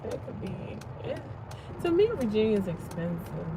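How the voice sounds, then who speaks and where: A woman's voice: mid-range voice, big pitch swings, normal speed, vocal fry. A middle-aged woman from Florida with an American accent, chatting casually as a passenger. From Florida, United States. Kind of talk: casual chat. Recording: very loud vehicle and road noise.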